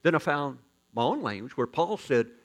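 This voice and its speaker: Male pastor, gravelly fry, mid-pitch, folksy joking sermon with loud bursts. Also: normal speed. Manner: folksy, joking, animated preaching with sudden loud rises. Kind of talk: storytelling sermon.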